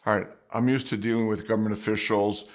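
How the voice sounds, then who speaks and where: A man's voice: lowish voice, flat delivery, quick pace. A middle-aged man telling a personal story at home in a rambling, conversational way, often restarting his sentences and adding asides. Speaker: storyteller, mission worker. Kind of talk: casual spoken anecdote.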